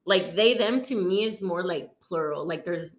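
A woman in her thirties, a guest in a casual chat, talking in a relaxed, rambling way full of fillers. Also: fairly low pitch, moderate pace. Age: 30s. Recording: roomy echo.

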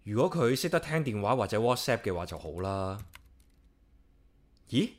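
An actor playing a solitary, caring young office worker with a Hong Kong accent, narrating and chatting casually in a radio drama. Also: male voice, fairly low pitch, sing-song, moderate pace. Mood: worried, musing. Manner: casual, talks playfully to himself and pet. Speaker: lead character and narrator. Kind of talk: radio drama dialogue and inner-monologue narration.

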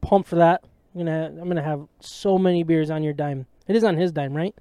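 A man hosting a casual sports podcast, chatty and jokey, with a voice he calls high. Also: brisk, mellow, clear, resonant tone, dry recording, studio quality. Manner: chatty, jokey, self-deprecating. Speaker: podcast host.

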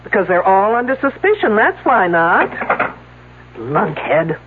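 An actress playing a brisk, probing lady police detective in a 1970s radio drama, with pointed, insistent questions. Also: mid-range voice, big pitch swings, over music. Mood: exasperated, insistent. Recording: narrow, tinny old radio broadcast.

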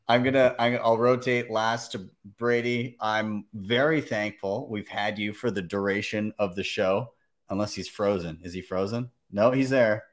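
A male podcast host with a lowish voice, easygoing and quick to joke. He talks loosely and casually, throwing in the odd swear word as he hands the floor to guests. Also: fairly even intonation. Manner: laid-back, joking, swears casually. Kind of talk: casual live-stream chat.

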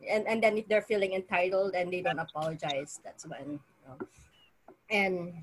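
A woman's voice: on the low side, normal speed, a little hiss or hum, low-quality recording. A woman from the Philippines with a Filipino accent, a guest telling stories in a casual panel chat, laughing often and acting out quotes. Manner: laughs often, animated storytelling with acted quotes. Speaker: guest, stripper.

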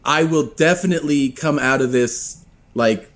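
Man, casual podcast guest, profane and animated, keeps laughing through his stories. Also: medium pitch, a little slow. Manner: profane, animated storyteller who laughs often. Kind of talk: casual storytelling.

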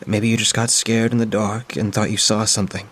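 A man voicing a caring middle-aged woman, a friend of the family, speaking in a gentle, soothing, concerned way. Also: lowish voice, level tone, a little fast.

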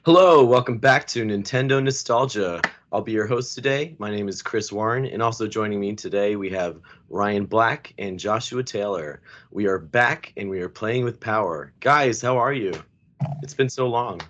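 Raspy, low-voiced male podcast host. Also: normal speed, lo-fi sound, slight background noise. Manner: relaxed, rambling with fillers. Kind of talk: casual chat.

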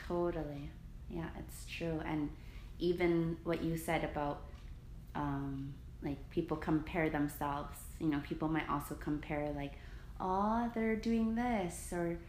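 Low-voiced woman, echoey room. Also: fairly even intonation, slow pace, a little mellow. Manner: supportive, thoughtful, hesitant with many fillers. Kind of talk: casual chat. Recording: echoey room with faint noise.

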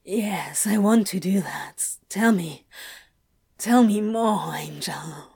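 A woman's voice: medium pitch, animated, drawn-out words, warm tone, husky, full character voice. Breathless whispering male demon a woman voices. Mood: breathless, pleading. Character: needy, yearning male demon, adult, breathless.

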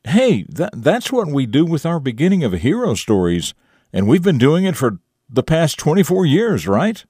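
A man hosting morning radio and narrating stories in an expressive, folksy, emphatic manner. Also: on the high side, normal speed, slightly rough, dry recording, clean studio sound. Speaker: radio show host and storyteller. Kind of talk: morning radio talk and dramatic story narration.

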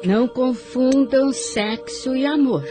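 An actress playing a solemn, wise spiritual narrator who gives measured moral counsel in a radio drama. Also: female voice, high voice, over music. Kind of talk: scripted didactic narration.

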